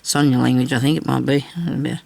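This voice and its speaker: Very gravelly, low-voiced older Australian woman with cough. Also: little pitch movement, fast, darkish tone, a little hiss or hum. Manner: rambling, self-deprecating, tag questions. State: lingering cough after weeks of sickness. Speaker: craft video maker. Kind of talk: casual chat while hand stitching.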